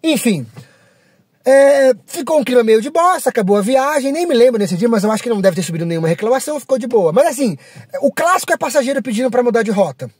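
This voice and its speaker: Young São driver, casual vlog rant, animated and a bit husky. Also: male voice, extremely high pitch, quick pace. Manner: rambling, frank, self-deprecating, with many fillers. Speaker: app driver.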